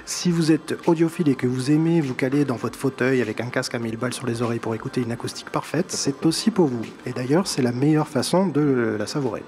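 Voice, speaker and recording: A man's voice: medium pitch, fast talker, few pauses, a little mellow. A man with a slight accent in French, giving an enthusiastic, chatty music column on a live show. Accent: non-native accent in French. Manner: chatty, enthusiastic, laughs at himself. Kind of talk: casual live-show chronicle.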